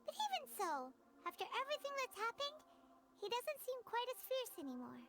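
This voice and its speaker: A woman voicing a tiny, excitable, whiny fairy companion with a squeaky childlike voice in a video game. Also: moderate pace, full character voice. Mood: relieved, whiny. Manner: squeaky, speaks of herself in third person. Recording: loud music behind.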